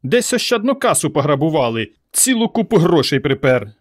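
A man acts out an indignant village mother from a storybook. The voice climbs high and swings widely as she scolds, shouts and wails. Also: sing-song, fairly quick. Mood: wailing indignation.

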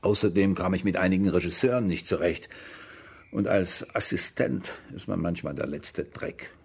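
An actor in a radio drama playing a defensive, self-pitying older man, evasive and dismissive, with a husky, gravelly voice. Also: low voice, normal speed, full character voice. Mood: defensive, reflective. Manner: evasive, dismissive, matter-of-fact. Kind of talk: German radio crime drama acting, interview monologue.

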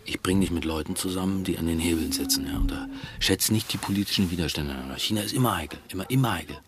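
An actor playing a self-righteous, insistent male politician who gets flustered and halting, in a naturalistic radio crime drama. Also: low voice, fairly bright tone. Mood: persuasive.

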